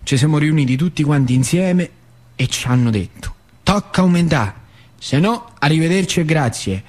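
A male actor playing an elderly, plainspoken factory worker with a northern Lazio accent, telling his memories in a colloquial stage monologue with dramatic pauses. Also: fairly high pitch, animated, a little mellow. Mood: animated recollection. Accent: central Italian, northern Lazio. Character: elderly Italian factory worker-member reminiscing, plainspoken and wistful. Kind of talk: theatrical monologue storytelling.

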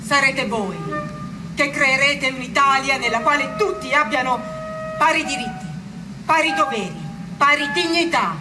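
A woman's voice: high-pitched, a little slow, recorded from a distance, background noise. A woman giving solemn, oratorical dramatic narration from a script.

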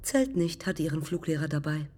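A woman's voice: low voice, lively intonation, a little fast, a little mellow, a little hiss or hum. An actress playing a proud, ambitious young woman aviator haunted by failure, speaking in clipped fragments in a poetic radio play.

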